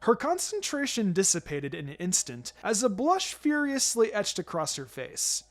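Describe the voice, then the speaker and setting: A man's voice: high-pitched, wildly swooping pitch, normal speed. A man reading fan fiction aloud as a narrator, in a dramatic scripted storytelling style. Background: music.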